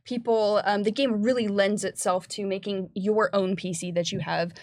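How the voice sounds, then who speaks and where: A woman speaking off the cuff as a panelist on a game discussion stream, halting a little as she thinks aloud. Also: mid-range voice, steady tone, darkish tone, no echo, clean studio sound. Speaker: panelist on a tabletop game livestream.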